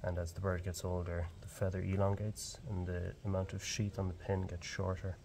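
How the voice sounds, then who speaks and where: A young man with an Irish accent and a low voice talks calmly through the steps of handling a chick. He speaks in an open farm shed on a camera mic, with steady outdoor ambience noise behind him. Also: moderate pace. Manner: calm, explanatory. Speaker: barn owl ringer. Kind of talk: explaining fieldwork on camera.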